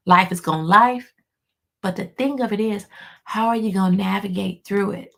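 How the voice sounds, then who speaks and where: A hoarse woman, a life coach, giving an encouraging motivational talk to her viewers, repeating key lines for emphasis and calling them y'all. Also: medium pitch. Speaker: life coach hosting a weekly motivational show. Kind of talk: motivational pep talk to camera.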